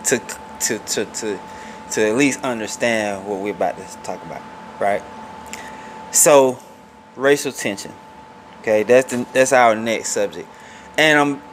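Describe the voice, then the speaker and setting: A man's voice: mid-range voice, a bit bright, a little hiss or hum, poor audio. A man in his forties hosting a podcast in casual, informal talk, often repeating words as he forms his thoughts. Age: 40s. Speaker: podcast host. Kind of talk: casual conversation.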